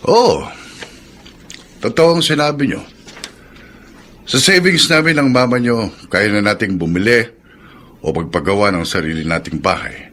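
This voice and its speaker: A man playing an earnest middle-aged father and dutiful son, speaking in a measured way in a Filipino audio drama. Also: fairly low pitch, a bit bright, slight background noise. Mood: serious. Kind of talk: Filipino radio-style drama acting. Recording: music under the voice.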